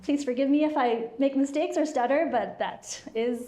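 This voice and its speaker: A woman's voice: high voice, normal speed, warm tone. A woman moderating a live panel discussion, chatty and laughing often, with a self-deprecating manner. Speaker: panel moderator and studio founder.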